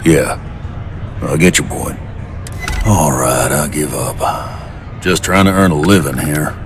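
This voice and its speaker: An actor playing a gruff, weary bartender on Mars in a video game, plain-spoken, folksy and grudging. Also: male voice, rumbling low, slow, music in the background. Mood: exasperated. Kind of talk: video game character dialogue. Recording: clear ambient noise behind voice.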